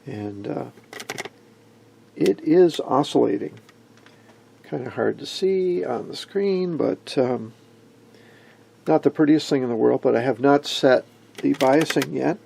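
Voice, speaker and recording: A man narrates an informal hands-on demonstration at an unhurried pace of thought. His voice is heavily creaky and husky, with a relaxed, dark tone. He comes across as a folksy hobbyist explaining his work step by step. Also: mid-range voice. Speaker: electronics hobbyist presenter. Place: indoor electronics workbench.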